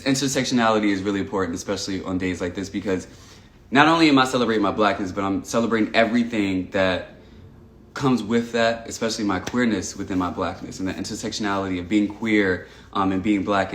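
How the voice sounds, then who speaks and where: An earnest young man from Texas chats casually on a livestream, thinking aloud and circling back with lots of "um"s. His voice sits a little low. The room around him is echoey. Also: a bit bright. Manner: earnest and reflective, frequent "um" and restarts. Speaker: livestream host. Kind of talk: casual livestream chat reading comments.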